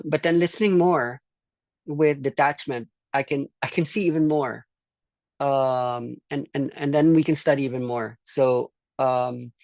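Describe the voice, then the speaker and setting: A course leader from the Philippines speaking reflectively to a group in a halting, thoughtful way, often repeating words. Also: mid-range voice, creaky, darkish tone, no echo. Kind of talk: reflective group discussion talk.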